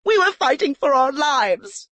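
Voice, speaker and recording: A young noblewoman , played by a woman narrator, pitched far above the reader's own voice, urgent and breathless. She sounds scared yet defiant, pleading at a raised volume. The recording is close and dry. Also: lively intonation, normal speed, dry recording. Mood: frightened.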